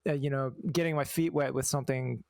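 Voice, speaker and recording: A man talking casually as an interview guest, halting and thoughtful as he explains things. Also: mid-range voice, fairly even intonation, gravelly, a little mellow. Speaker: guest, fan translator.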